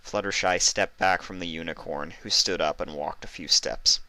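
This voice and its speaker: A man with a low voice narrates a story from a script. His tone is bright and forceful, and the sound is close and dry. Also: normal speed, no echo. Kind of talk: scripted fan fiction narration.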